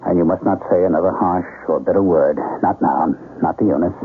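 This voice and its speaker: An actor playing a serene, mysteriously knowing man in a 1970s radio drama, speaking in insistent, deliberate short phrases. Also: sits low, slightly rough. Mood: soothing, solemn. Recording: dull lo-fi old radio broadcast.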